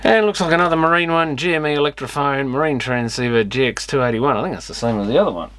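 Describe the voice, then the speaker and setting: A man's voice: medium pitch, quick pace. A man giving casual, off-the-cuff commentary as he looks over gear, muttering and mildly grumbling to himself. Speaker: electronics repair vlogger. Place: electronics repair workshop. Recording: clicky, clearly noisy external camera mic.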